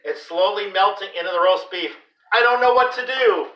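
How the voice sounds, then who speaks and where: A man reading a children's story aloud in an expressive, deliberate, dramatic way. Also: sits high. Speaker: read-aloud host for a school class. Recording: roomy echo, distant microphone.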